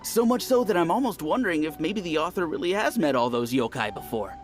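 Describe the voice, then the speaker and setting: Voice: mid-range voice, animated, fairly quick, soft background music, close to an everyday voice. A voice actor playing a reflective, slightly jaded longtime reader of novels.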